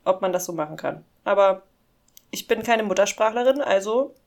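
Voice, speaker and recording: A woman's voice: medium pitch, a little mellow. A German-speaking woman in casual podcast chat, amused and often laughing as she reads scam emails aloud. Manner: amused, giggly, reads English slowly and mockingly. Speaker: podcast co-host.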